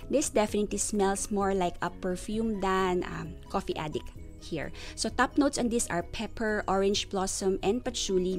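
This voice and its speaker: A woman's voice: on the low side, a little mellow. Woman giving an enthusiastic perfume review. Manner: enthusiastic, descriptive, stretches words for emphasis. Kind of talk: friendly scripted product review.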